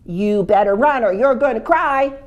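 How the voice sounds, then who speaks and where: A woman storyteller voicing a spooky male ghost who chants his lines slowly and drawn out. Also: a little high, dull audio, close to an everyday voice. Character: spooky slow-talking male ghost in the cellar.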